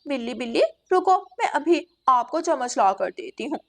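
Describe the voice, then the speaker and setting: A woman's voice: sits high, big pitch swings, moderate pace. A woman voicing a greedy, trusting female crow in a children's cartoon story, with a naive and eager manner. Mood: trusting, helpful. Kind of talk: children's animated story dialogue.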